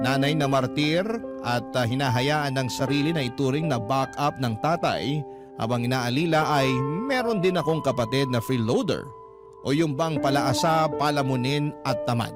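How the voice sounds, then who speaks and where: Lalaking tagapagkuwento sa radyo, malakas ang musika. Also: male voice, medium pitch. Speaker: radio storyteller reading listener letters. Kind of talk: dramatic first-person letter narration. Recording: loud music bed under voice.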